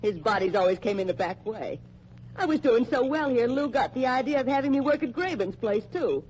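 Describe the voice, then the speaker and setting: An actress playing a defiant but frightened young employee, slangy and stammering under pressure, in an old-time radio crime drama. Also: female voice, fairly high pitch, animated, a little fast, a little mellow, natural voice. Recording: muffled, hissy old radio recording.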